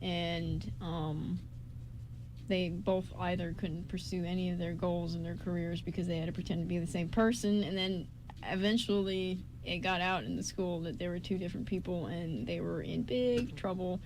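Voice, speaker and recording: Young female radio host, gushing and rambling through casual stories, clear background noise from the room. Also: fairly low pitch, steady tone, normal speed, a little mellow. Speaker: radio show host and script writer. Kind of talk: casual chat among friends. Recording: noisy room with ambient sound.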